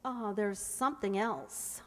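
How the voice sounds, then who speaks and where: A woman's voice: mid-range voice, very animated, takes it slowly, fairly bright tone. A woman playing a wisecracking, weary young working woman in old-time radio comedy, wry and exclamatory. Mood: shy, delighted. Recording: echoey hall, audible background noise.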